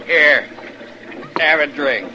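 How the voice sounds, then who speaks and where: Insolent, menacing frontier rowdy; American, folksy, unhurried drawl, vintage radio western with noisy background. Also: male voice, on the high side, animated, bright, forceful tone, heavily acted. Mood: leering, insistent. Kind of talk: 1950s radio western acting. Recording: noisy old radio drama recording.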